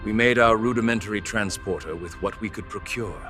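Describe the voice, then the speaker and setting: A man playing a sinister, flattering supervillain ally in a motion comic, with music under him. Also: fairly low pitch, brisk. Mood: smooth scheming.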